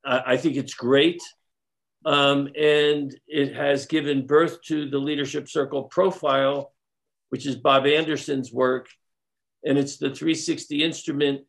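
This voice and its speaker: A man's voice: medium pitch, flat delivery, measured pace, vocal fry. A man, a consultant guest in an interview, explaining his work step by step with some restarts.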